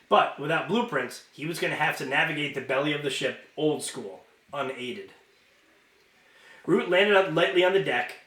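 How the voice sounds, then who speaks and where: A man reading a novel aloud as an amateur narrator, expressive and informal, sometimes stumbling and correcting himself. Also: a little high. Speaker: amateur audiobook reader. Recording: roomy, echoing home recording.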